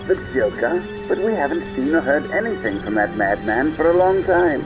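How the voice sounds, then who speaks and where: A man's voice: on the high side, big pitch swings, normal speed. A man playing a loyal, polite butler in an audio drama, deferential and attentive toward his master. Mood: worried. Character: loyal, courteous, concerned male butler to a vigilante. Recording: music under the voice.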